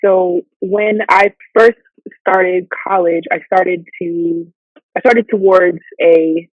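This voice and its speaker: A woman in her thirties, a guest poet answering interview questions in a relaxed, rambling way. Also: on the low side, takes it slowly, dry recording. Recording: dry, tinny phone line.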